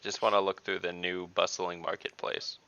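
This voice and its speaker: Deep, creaky man, flat delivery. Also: normal speed, no echo, muffled recording. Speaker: player in a tabletop game.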